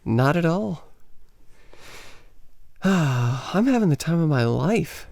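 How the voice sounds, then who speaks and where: A man's voice: on the high side, very animated, takes it slowly, gravelly, darkish tone, heavily acted. An actor playing an adventurous, teasing American man on holiday in a radio play, with bantering, cocksure delivery. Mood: curious.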